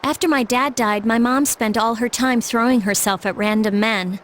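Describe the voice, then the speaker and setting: A woman's voice: a little high, normal speed, slight background noise, close to an everyday voice. A young American woman voicing an earnest, resolute teenage schoolgirl in an anime game. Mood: earnest, wistful. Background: music.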